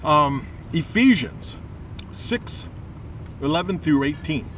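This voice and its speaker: A man's voice: on the high side, animated, takes it slowly, a bit bright. An older man talking casually to camera as a Bible vlogger, giving a devotional. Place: outdoors in a restaurant parking lot. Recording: distant mic with outdoor car noise.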